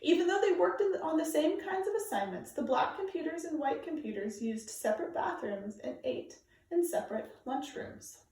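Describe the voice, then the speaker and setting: A woman reading aloud to children like a teacher, with clear, deliberate, emphatic phrasing. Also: sits high, lively intonation, a little mellow. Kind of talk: read-aloud storytelling for children, informal introduction. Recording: very echoey room, distant microphone.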